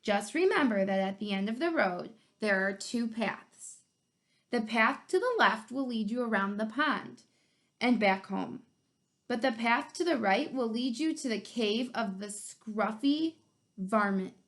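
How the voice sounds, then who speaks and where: A woman's voice: fairly high pitch, animated, unhurried, fairly bright tone. Woman reading as a patient, instructing parent rabbit. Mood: cautioning. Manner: clear instructing. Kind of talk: picture book read-aloud.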